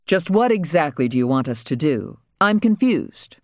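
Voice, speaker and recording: A narrator voices a skeptical woman police superintendent in an audiobook novel. She is brisk and authoritative, with lively pitch movement and a dry, close sound. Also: dry recording.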